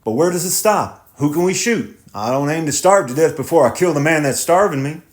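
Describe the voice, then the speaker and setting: A man's voice: a little high, lively intonation, voice pushed up, lightly acted. Stubborn tenant farmer, pondering, plain rural talk; male narrator voicing him. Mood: defiant. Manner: slow, pondering, plain-spoken.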